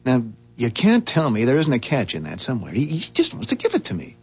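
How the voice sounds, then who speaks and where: Rattled, suspicious husband for vintage radio drama: husky, halting, emphatic, widely swinging pitch, dull tape sound. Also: male voice, wildly swooping pitch, heavily acted. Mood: agitated, uneasy. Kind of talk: 1970s radio drama acting. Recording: dull, muffled 1970s radio recording.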